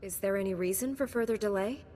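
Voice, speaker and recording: A woman's voice: mid-range voice, quick pace, mellow, a little hiss or hum, natural voice. Composed heroine played by a woman in echoing hall. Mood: earnest, resolute. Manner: earnest, composed. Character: earnest, composed heroic female Jedi knight. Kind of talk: video game cutscene acting.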